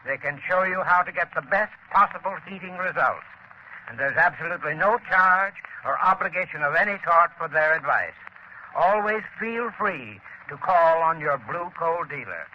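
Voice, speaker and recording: A man's voice: a little high, animated, moderate pace. A man reading a scripted radio advertisement as sponsor spokesman in a friendly, advisory manner. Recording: dull old radio recording with hiss.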